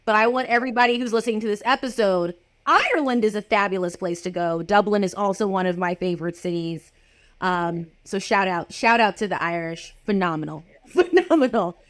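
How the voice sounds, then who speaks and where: Woman, podcast host, mid pitch, upbeat and emphatic, direct questions, video call. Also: a little fast, dry recording. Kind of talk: casual interview chat.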